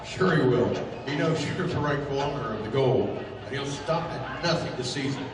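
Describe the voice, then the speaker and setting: A man acting a gruff, loyal, excitable adventurer's sidekick in a 1930s-style pulp radio drama. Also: medium pitch, moderate pace, natural voice. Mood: blunt, excited. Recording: noisy, very echoey, distant hall.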